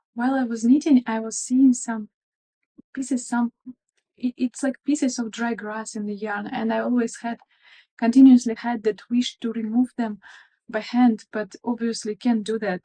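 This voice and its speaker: Woman, Russian accent, thirties, mellow tone, a bit high, shy and friendly, casual chat. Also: low-quality recording. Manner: friendly, a little shy, chatty with frequent fillers. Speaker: crafting vlogger. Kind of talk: casual crafting video chat.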